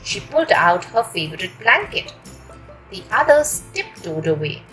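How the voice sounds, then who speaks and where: A woman reading a children's story aloud in an expressive, deliberate storytelling manner. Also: lowish voice, soft background music, poor audio. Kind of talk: animated storytelling for young children.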